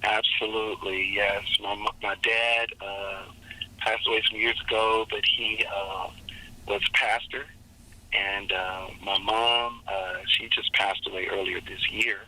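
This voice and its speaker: A male singer and minister with a raspy, low voice, speaking slowly and thoughtfully as a guest in an interview. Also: level tone, bright, forceful tone, slight background noise, rough recording. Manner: slow, measured, reflective. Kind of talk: interview over a call.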